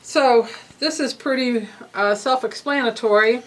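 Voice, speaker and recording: A woman's voice: on the high side, a little slow, rough recording. A woman coordinating a research study, patiently explaining participant forms step by step, slightly stuffy-nosed, with occasional ums.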